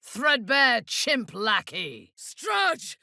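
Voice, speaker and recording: An actress voicing a ruthless, haughty female warrior commander in a video game, with a husky, breathy voice and an imperious, menacing delivery. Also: a little high, sing-song, extremely slow, sharp tone, dry recording, studio quality, full character voice. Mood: fierce incantation. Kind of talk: dramatic video game voice acting.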